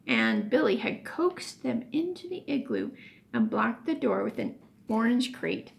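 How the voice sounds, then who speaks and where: Woman, teacher, children's read-aloud, high voice, heavy creak, expressive, deliberate pauses. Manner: expressive, pausing for emphasis. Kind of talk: children's story read-aloud with word explanations.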